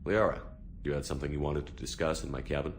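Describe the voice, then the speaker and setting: A man playing a confident, gruff military commander in a video game cutscene. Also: rumbling low, fairly even intonation, fast, slight background noise. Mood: matter-of-fact. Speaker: squad commander.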